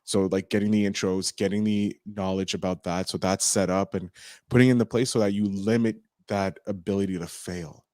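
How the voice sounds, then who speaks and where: A man's voice: low-pitched, moderate pace, dry recording, clean studio sound. A man hosting a podcast interview, talking casually and rambling with lots of fillers. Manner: animated, rambling, frequent "like" fillers. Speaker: podcast host.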